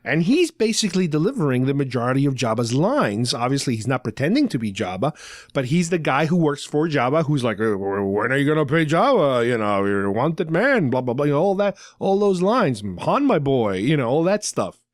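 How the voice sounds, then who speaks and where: A man's voice: mid-range voice, big pitch swings, brisk, no room sound, studio quality. A man hosting a podcast, casually recapping in a conversational way with loose paraphrases of dialogue. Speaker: podcast host. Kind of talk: casual fan discussion, recapping a radio drama.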